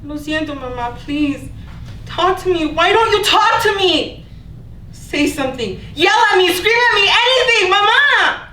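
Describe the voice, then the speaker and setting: Woman as a guilty pleading daughter onstage, super high bright voice, echoey and far from mic. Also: lively intonation, unhurried, exaggerated voice. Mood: desperate pleading. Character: pleading, guilt-ridden young daughter, desperate. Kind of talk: staged play reading. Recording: echoey room, distant mic, faint noise.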